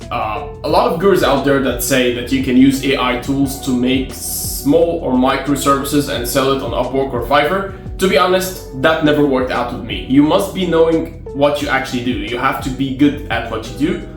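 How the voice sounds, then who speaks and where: A man's voice: mid-range voice, moderate pace, hardly any pauses. Arabic-native man giving casual freelance advice. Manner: conversational, repeats and restarts phrases, gives direct advice. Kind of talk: casual talking-to-camera vlog. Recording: roomy echo with faint guitar music.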